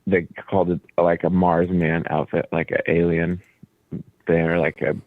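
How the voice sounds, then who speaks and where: Guy with a croatian first language, real low voice, sounds like a thin phone line, dry, just chatting loosely about his band. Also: moderate pace, no echo. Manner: relaxed, explains at length with fillers. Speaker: band leader and studio guest. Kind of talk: casual radio interview.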